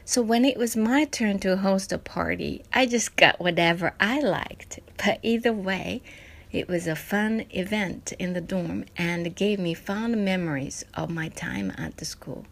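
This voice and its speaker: A woman's voice: on the low side, expressive, measured pace. Woman. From Japan. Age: 40s. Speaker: presenter of a personal parenting essay. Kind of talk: scripted personal narration.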